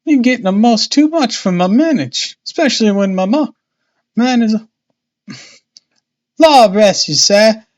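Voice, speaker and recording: Storybook boatman's wife male reader acting, talkative and fretful, colloquial, pitched well up and loud. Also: animated, unhurried, pauses often, muffled recording. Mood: chatty, flustered. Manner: chattering, exclamatory, colloquial. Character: harried, talkative working-class Victorian boatman's wife, anxious.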